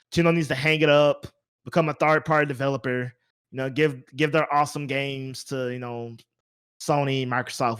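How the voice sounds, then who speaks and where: A man hosting a casual gaming podcast, talking in an animated, rambling way and repeating phrases for emphasis. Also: mid-range voice, clean tone. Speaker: podcast host.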